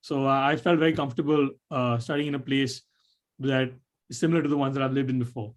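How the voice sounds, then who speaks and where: A man's voice: mid-range voice, steady tone, quick pace. A young man from Nepal answering webinar questions about his college choice, rambling with many fillers and restarts over a video call. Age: young adult. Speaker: admitted student panelist.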